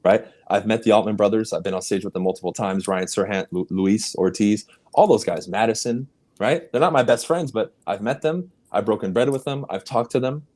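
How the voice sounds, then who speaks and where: A man's voice: fairly quick, darkish tone. A man whose first language is Spanish, speaking English as an emphatic, punchy real estate coach, a guest on a podcast. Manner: emphatic, punchy, sweeping pitch jumps. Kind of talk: motivational podcast interview.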